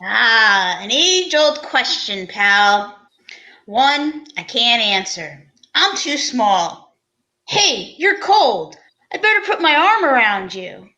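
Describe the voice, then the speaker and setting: Woman doing a weary cop inspector guy, high pitched, slow and very lively up and down, radio drama. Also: exaggerated voice, poor audio. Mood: fond, pensive. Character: protective, weary male police homicide inspector. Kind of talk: amateur old-time radio detective drama.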